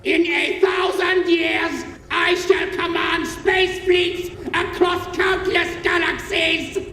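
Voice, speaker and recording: An actor voicing a megalomaniac, power-hungry brain in a jar in a video game, with bombastic villain declarations. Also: male voice, extremely high pitch, flat delivery, slow, sharp tone, heavily acted. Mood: commanding, megalomaniac. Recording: echoey long reverb, music behind.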